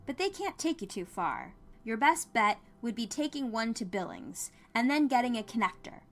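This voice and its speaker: A woman's voice: on the high side, very animated, normal speed, pitched above the performer's own voice. A woman voicing a friendly, apologetic male clerk in a small town, casual and matter-of-fact, for an audio story. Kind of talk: dramatized audiobook character dialogue.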